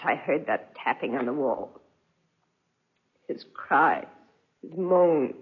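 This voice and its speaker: An anguished wife in an old radio melodrama, haunted and pleading. Her voice swings widely in pitch and is very breathy, with slow, drawn-out delivery. The 1940s recording is dull and muffled. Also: heavily acted. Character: anguished, terrified wife haunted by guilt and horror. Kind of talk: 1940s radio drama acting.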